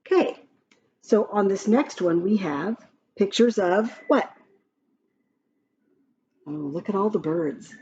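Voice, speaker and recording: A woman reading a rhyming picture book aloud to young children in an expressive, sing-song way, with counting and little asides. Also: mid-range voice, slow pace, long pauses, a little hiss or hum. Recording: muffled lo-fi audio with background music. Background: music from a picture-book app.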